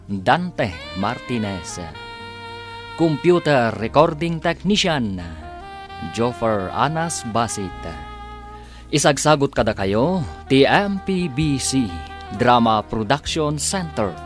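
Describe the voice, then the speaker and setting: A man with a Filipino accent announcing a radio drama in a scripted style. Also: mid-range voice. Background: music.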